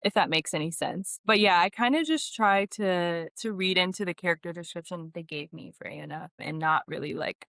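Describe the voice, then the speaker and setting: A woman's voice: lowish voice, normal speed, few pauses, a bit bright, no echo, studio quality. A woman speaking as an actress guest in a casual podcast interview, often saying "like" and quoting her own reactions.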